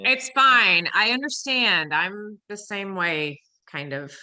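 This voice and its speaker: A woman's voice: medium pitch, slow, bright, forceful tone. A woman playing a dry-witted label artist with a sardonic manner in a comedy table reading. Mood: dry, cool. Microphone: video call.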